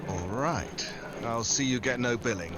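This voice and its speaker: An actor playing a wry, tough American secret agent in an old-time style radio drama. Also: male voice, mid-range voice, a little slow, background noise, close to an everyday voice. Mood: wary, businesslike. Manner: wry, sardonic.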